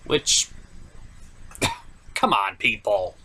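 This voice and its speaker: Animated, sarcastic comedy reviewer. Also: mid-range voice, extremely slow, breathy edge. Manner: sarcastic, mock-exasperated. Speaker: host reviewer. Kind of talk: scripted comedic movie review.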